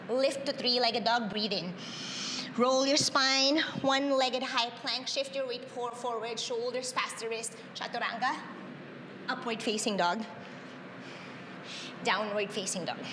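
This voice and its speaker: Woman yoga teacher, Tagalog first language, high voice, upbeat cues, echoey roomy reverb, noisy background. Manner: upbeat, cueing breaths, laughs and cheers often. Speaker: yoga instructor. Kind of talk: guided yoga class instruction.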